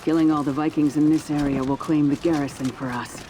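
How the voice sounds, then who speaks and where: A man's voice: a little high, little pitch movement, brisk, lightly acted, low-quality recording. A man acting a dutiful, self-assured knight warden narrating a video game story. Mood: solemn. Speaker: protagonist narrator of a game campaign. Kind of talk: scripted cinematic game narration. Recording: music behind.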